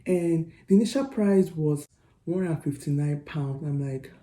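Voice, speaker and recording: Chatty Nigerian woman, low mellow voice. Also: unhurried, reverberant. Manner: chatty, animated storytelling. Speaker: vlogger. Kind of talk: storytelling to camera.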